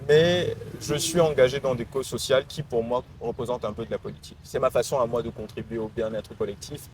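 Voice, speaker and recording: A man's voice: mid-range voice, steady tone, fast. Young Cameroonian man over noticeable background noise. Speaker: interview guest. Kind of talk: conversational, reflective answers. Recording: noisy urban ambience behind voice.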